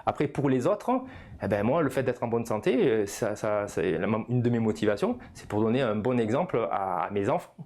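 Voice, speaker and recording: A man's voice: on the low side, expressive, brisk, darkish tone. A man, explaining in an informal coaching style and often punctuating his phrases with small tag words. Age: 50s. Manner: didactic, punctuates phrases with "hein" and "voilà". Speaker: personal development coach. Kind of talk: informal video lesson, explanatory.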